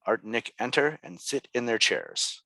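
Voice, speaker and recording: A man's voice: mid-range voice, lively intonation, normal speed, bright, forceful tone, no room sound. A man reading screenplay scene directions aloud at a table read, as the narrator. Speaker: reader of stage directions.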